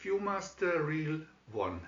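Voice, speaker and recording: Male storybook narrator: bright, theatrical, measured pace, slightly dull echoey recording. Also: fairly high pitch, very animated.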